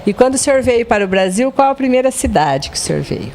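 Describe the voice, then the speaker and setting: A woman's voice: on the high side, very animated, fast talker, a little mellow, slight background noise. A woman interviewing an elderly priest, asking polite and respectful questions.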